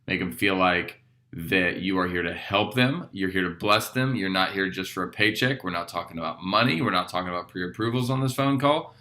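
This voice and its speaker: A man's voice: low-pitched, brisk, pushed and bright. A man with an American accent giving an informal real estate training talk in an upbeat, emphatic, colloquial way. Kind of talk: informal training talk with acted-out phone calls.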